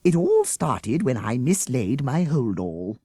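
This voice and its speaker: A man's voice: medium pitch, sing-song, slow pace, warm tone, breathy edge, dry recording, clean studio sound, voice pushed up, heavily acted. A man voicing a shy, flustered female schoolteacher who speaks timidly and apologetically.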